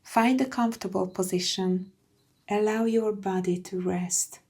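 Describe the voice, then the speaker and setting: A woman leads a guided meditation at a very unhurried pace. Her tone is soft and mellow, soothing and hushed, and her phrases often end in a breathy near-whisper. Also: medium pitch, fairly even intonation. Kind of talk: slow guided meditation, scripted.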